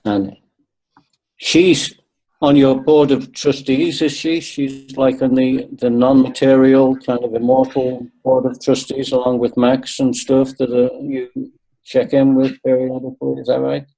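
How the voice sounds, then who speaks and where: A man's voice: medium pitch, flat delivery, measured pace, gravelly, dark tone, low-quality recording. A man in his sixties, a guest on a webinar video call, talking earnestly and repeating points for emphasis. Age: 60s. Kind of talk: webinar conversation.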